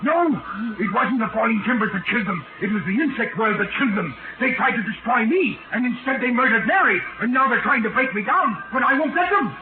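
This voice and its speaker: High, frantic mad professor. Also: male voice, lively intonation, brisk, over music. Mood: crazed defiance. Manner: urgent, agitated, repeating himself. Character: frantic, obsessed male professor descending into madness. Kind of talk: 1940s radio acting. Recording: scratchy tinny 1940s radio recording.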